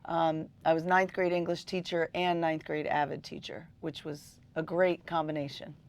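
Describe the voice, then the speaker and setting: A woman's voice: deep voice, flat delivery, moderate pace. A middle-aged woman teacher talking in an interview, enthusiastic and full of ums. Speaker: high school teacher.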